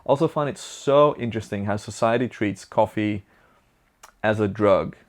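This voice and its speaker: Belgian guy w flemish accent doing a casual q&a vlog, kinda low voice, rambles a bit. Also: normal speed. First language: Dutch. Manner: chatty, upbeat, rambling with fillers. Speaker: vlogger. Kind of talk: casual Q&A answering viewer questions.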